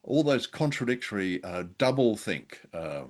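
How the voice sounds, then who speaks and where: A man's voice: lowish voice, sing-song, normal speed, a little mellow. A man co-hosting a casual discussion show, speaking in a hesitant, wry, self-deprecating way. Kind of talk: casual discussion of an old radio drama.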